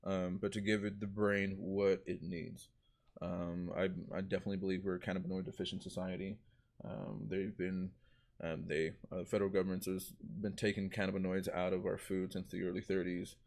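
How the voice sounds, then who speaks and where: A man's voice: low voice, little pitch movement, moderate pace, gravelly, darkish tone. A man speaking earnestly as a guest in a live talk show, with many fillers. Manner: earnest, many fillers and "you know what I mean".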